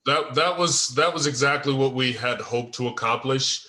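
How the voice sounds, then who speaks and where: A man leads an informal group discussion. His voice is bright and forceful, at an ordinary male pitch. He explains himself earnestly and deliberately. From United States. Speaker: host and co-writer of a script table read.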